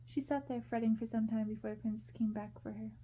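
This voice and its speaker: A woman reading a story aloud in a gentle, measured ASMR style. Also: fairly high pitch, fairly even intonation.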